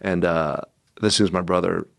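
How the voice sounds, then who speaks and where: A man who spoke Cantonese first, a guest telling family stories in a relaxed way with many fillers and asides. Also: low-pitched, normal speed, gravelly, no room sound, studio quality. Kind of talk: casual conversational interview.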